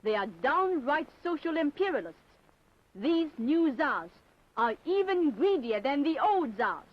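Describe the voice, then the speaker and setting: A woman's voice: high voice, sing-song, measured pace. A woman narrating a scripted propaganda documentary in a formal, emphatic, declamatory style. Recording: dull 1960s film soundtrack.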